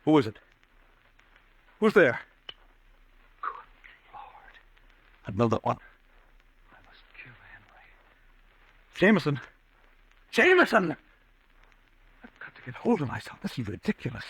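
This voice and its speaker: An actor playing an anxious, haunted businessman in 1940s radio suspense style, agitated and halting in his speech. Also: on the high side, very animated, fairly bright tone, husky, heavily acted, poor audio. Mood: frantic, panicked. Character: haunted, anxious businessman fearing he is going mad. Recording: dry vintage radio drama recording.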